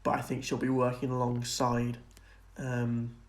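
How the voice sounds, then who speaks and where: A man's voice: mid-range voice, monotone, measured pace. A man co-hosting a podcast, chatting casually and earnestly as he speculates about film and TV. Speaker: podcast co-host.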